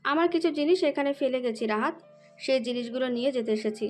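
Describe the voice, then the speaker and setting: A woman's voice: high-pitched, fast, darkish tone, faint music behind, natural voice. A woman playing a confident young rival in love with a pointed, self-assured manner in an audio romance drama. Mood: pointed, insinuating.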